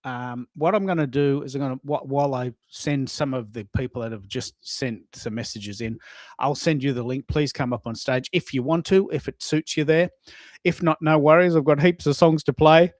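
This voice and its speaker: A man's voice: medium pitch, fairly even intonation, moderate pace, no room sound. Chatty middle-aged man, dry close sound. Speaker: host of a live social audio show. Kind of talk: casual live-stream chat.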